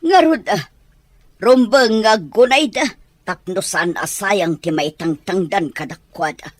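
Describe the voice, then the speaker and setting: A woman's voice: mid-range voice, measured pace, dry recording, close to an everyday voice. A woman with a Filipino accent playing a wealthy, complaining middle-aged mother in an audio drama. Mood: curt, defensive.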